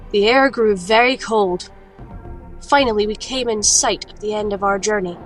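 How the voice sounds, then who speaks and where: A woman's voice: medium pitch, lightly acted, rough recording. Woman playing a solemn adventuring alchemist, music behind. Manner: measured, solemn storytelling. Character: grave adventuring female alchemist recounting her quest. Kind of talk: dramatic scripted first-person narration.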